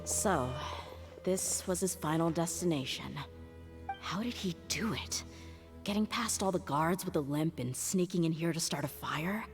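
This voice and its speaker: A stern young woman mercenary in a video game, speaking low over soft music. She is blunt and commanding, with weight in every line. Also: measured pace. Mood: pensive. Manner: firm, blunt, demanding.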